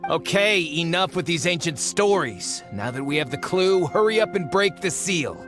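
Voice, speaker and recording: A man's voice: fairly high pitch, lively intonation, moderate pace, music in the background, natural voice. An actor voicing a brash, impatient male treasure hunter in a video game. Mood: brash, greedy. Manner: brash, dismissive. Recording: game score playing faintly.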